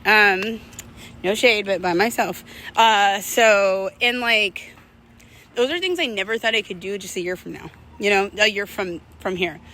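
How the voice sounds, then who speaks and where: Female vlogger, bright and clear-cut tone, casual confessional delivery with slangy, reflective phrasing; faint vehicle-like noise behind. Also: medium pitch, measured pace. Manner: candid, reflective, conversational slang. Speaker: vlogger telling personal stories to viewers.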